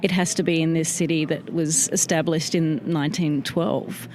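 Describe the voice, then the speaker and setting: Australian woman, low-pitched and chatty. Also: fairly even intonation, normal speed, a little mellow, a little hiss or hum. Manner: enthusiastic, explanatory storytelling. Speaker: guest. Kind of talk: casual interview chat.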